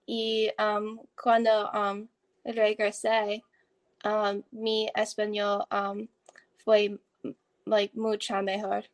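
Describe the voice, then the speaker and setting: Hesitant learner woman, slow speech. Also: medium pitch, completely flat delivery. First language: not Spanish. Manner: hesitant, many um fillers. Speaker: Spanish learner. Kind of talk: casual conversation.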